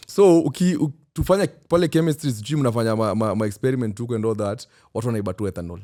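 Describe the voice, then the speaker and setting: Podcast host, kenyan man, 30s, rapid animated delivery, laughs a lot. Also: medium pitch. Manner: animated, laughs often, repeats himself when excited. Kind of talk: casual chat mixing English and Swahili.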